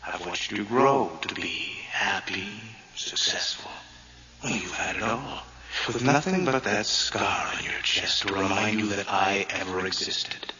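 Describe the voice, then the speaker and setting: Man acting a slow, low, menacing ghostly twin brother. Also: lo-fi sound, natural voice. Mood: resentful. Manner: slow, taunting, often drops to a whisper. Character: dead twin brother haunting his living twin, menacing, mocking, gloating. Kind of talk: horror audio drama acting.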